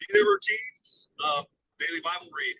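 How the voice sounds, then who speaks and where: A man on a phone video call while driving, speaking reflectively with many pauses and fillers. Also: very high voice, wildly swooping pitch, creaky, bright, forceful tone, a little hiss or hum, low-quality recording. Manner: reflective, hesitant, lots of fillers. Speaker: sales call participant. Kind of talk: casual group call. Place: driving next to highway traffic.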